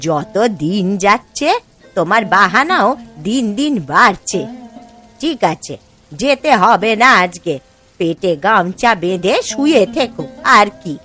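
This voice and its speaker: A woman's voice: mid-range voice, animated, unhurried, pushed and bright, soft background music. A woman playing a nagging old village wife. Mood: scolding, sarcastic. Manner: nagging, sarcastic. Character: scolding, sharp-tongued old village wife. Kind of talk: Bengali cartoon moral story acting.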